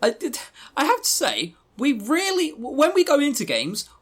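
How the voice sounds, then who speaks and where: A man's voice: extremely high pitch, huge pitch swings, moderate pace, a bit bright. A man co-hosting a podcast, giving blunt, opinionated, sweary reviews in a casual chatty way. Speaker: podcast co-host.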